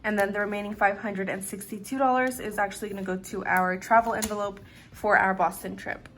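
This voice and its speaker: A young woman talks casually to her viewers in a relaxed, easygoing way. Her voice is a little raspy from lack of sleep, sitting at an ordinary middle pitch, and she drops in "like" often as she goes. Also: a little fast, a little hiss or hum. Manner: relaxed, chatty, uses "like" and "literally" often. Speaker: budgeting vlogger. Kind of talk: casual chat to camera.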